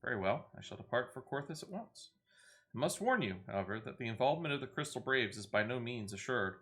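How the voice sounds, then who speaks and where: Man reading as a bright, formal fantasy leader. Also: medium pitch, animated. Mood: cautious, diplomatic. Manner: measured archaic formality.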